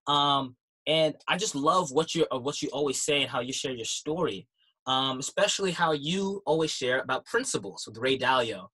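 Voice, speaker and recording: Host, man, eager, bright, slightly raspy, filler-heavy delivery. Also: mid-range voice, expressive, moderate pace, low-quality recording. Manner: enthusiastic, many fillers. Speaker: podcast host. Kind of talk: casual interview.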